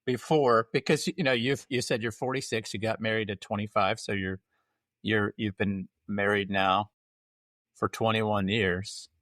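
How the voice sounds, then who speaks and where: Low-voiced man hosting a podcast. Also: fairly even intonation, a bit bright, dry recording, studio quality. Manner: earnest, measured questioning. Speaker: podcast host. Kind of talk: podcast interview.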